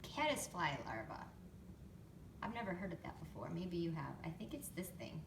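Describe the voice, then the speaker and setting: A woman reading a picture book to young children expressively, pausing to explain things and ask them questions. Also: fairly low pitch, very animated. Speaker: storytime reader, teacher to young children. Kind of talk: picture book read-aloud with chatty asides. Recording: roomy, distant mic, faint background noise.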